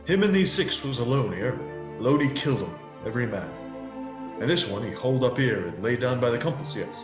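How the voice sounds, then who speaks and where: A man's voice: mid-range voice, expressive, a little fast, close to an everyday voice. Radio play role: wily pirate ringleader male actor, old sea-dog dialect, persuasive, muffled and reverberant. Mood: calculating. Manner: rustic old-sailor dialect. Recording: muffled, echoey audio under loud music.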